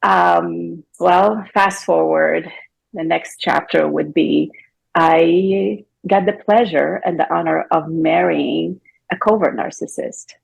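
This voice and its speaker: A woman's voice: on the low side, fairly even intonation, slow pace, vocal fry, husky, low-quality recording. A middle-aged woman from Morocco with a Moroccan accent, a guest coach chatting loosely with filler words and restarts. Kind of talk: casual interview talk.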